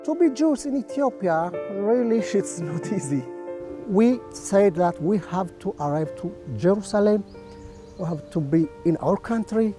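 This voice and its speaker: Animated interviewee, mellow tone, emphatic, faint music behind. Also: mid-range voice, unhurried. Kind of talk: interview testimony.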